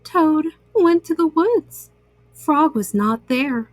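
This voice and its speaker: A woman storyteller narrating a children's book with simple, deliberate phrasing. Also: sits high, lively intonation, a little mellow, dry recording.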